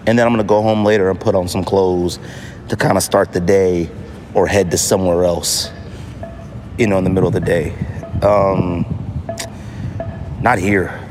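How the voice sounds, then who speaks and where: A man's voice: low voice, fairly even intonation, moderate pace, pauses often. An American man hosting a podcast in a casual solo monologue, repeating phrases for emphasis. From United States. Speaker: podcast host. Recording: noisy outdoor city street ambience. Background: street noise, traffic, banging.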